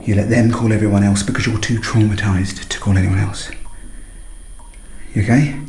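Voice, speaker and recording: A man playing a calm, controlling British murderer in a radio thriller, with coaxing menace. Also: lowish voice, moderate pace, creaky. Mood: controlled, instructing. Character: cold, controlling male lover calmly staging a murder. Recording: echoey room with faint noise.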